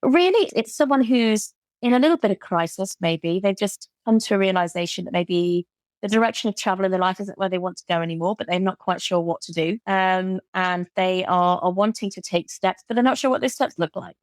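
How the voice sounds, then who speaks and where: A woman's voice: medium pitch, fairly quick, no echo. A British woman guesting on an interview, talking about her coaching work with enthusiasm and often repeating words for emphasis. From Britain. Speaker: guest, burnout recovery coach. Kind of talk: casual interview chat.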